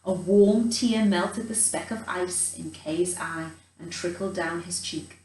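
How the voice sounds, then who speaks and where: A woman reading a storybook to children in a theatrical, expressive way, adding chatty asides. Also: lowish voice, takes it slowly, slight background noise. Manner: theatrical, dramatic emphasis, chatty asides to young listeners. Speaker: children's storyteller in character as a Christmas elf. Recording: roomy echo, distant from the mic.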